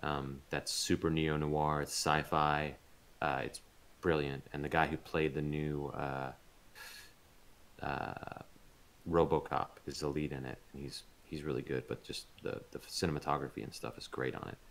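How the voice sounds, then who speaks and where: A man's voice: extremely low pitch, flat delivery, moderate pace. A man talking casually and informally as an interview guest. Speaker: interview guest, voice actor and audio drama producer.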